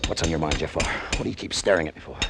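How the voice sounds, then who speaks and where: Loud music runs under a vintage radio drama. a hard, cynical American pilot with a deep male voice. He speaks in tough, clipped phrases, like a man with a short temper. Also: very fast. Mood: hostile. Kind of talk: old-time radio drama acting, narrating and dialogue.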